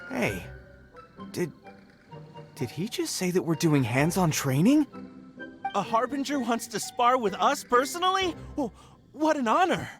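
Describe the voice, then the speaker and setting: A jittery young soldier, stammering and deferential, his pitch jumping with nerves. Light game music runs behind. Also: very animated, a little slow, long pauses, a bit bright, exaggerated voice. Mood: excited. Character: nervous young male army recruits, eager to please. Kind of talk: game dialogue voice acting.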